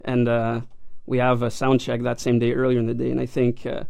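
A man's voice: medium pitch, completely flat delivery, brisk, vocal fry, darkish tone, clear, resonant tone, dry recording. Modest young man, dry close sound. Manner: modest, hesitant, many fillers. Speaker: country singer guest. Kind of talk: casual interview answers.

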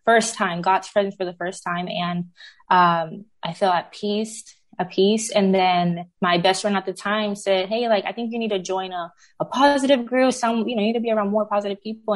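A woman in her thirties from Puerto Rico, a native Spanish speaker with a Puerto Rican Spanish accent, telling her faith story as an interview guest, halting and full of fillers. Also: medium pitch. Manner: halting, many fillers and restarts. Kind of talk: personal faith testimony.

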